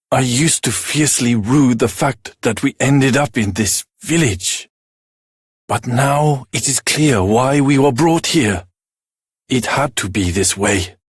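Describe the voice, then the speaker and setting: Fantasy game swordsmith: lower-pitched, husky, humble and earnest, with formal, reverent, measured phrasing. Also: male voice, no echo, studio quality. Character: earnest, humble exiled swordsmith turned village shopkeeper, male. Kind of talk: dramatic fantasy video game voice acting.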